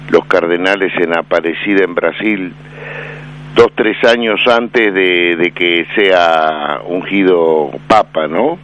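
A man with an Argentine accent, commenting on a radio interview in a measured, hesitant way with many fillers. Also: low-pitched, fairly bright tone. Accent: Argentine Spanish. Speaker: radio commentator on Vatican affairs. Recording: dull lo-fi AM radio broadcast with faint noise.

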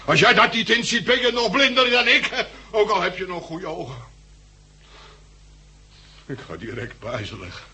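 An actor playing a drunken, despairing blind pub landlord who rambles in coarse, slurred, bitter speech in a radio drama. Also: male voice, high voice, lively intonation, normal speed, long pauses, bright, forceful tone, husky, full character voice. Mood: despairing, anguished. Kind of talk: 1960s radio drama acting. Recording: old 1960s radio recording, faint hiss.

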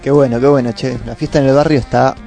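A man with an Argentine accent co-hosting a community radio show, quick and wry. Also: mid-range voice, steady tone, vocal fry, mellow, faint music behind. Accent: Argentine Spanish. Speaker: radio co-host.

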